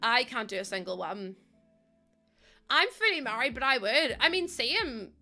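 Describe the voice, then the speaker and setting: Bright-voiced Northern Irish woman streamer. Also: on the high side, very animated, measured pace. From Northern Ireland. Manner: chatty, giggly, swears casually, local slang. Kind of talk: casual chat with live chat. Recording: faint music behind.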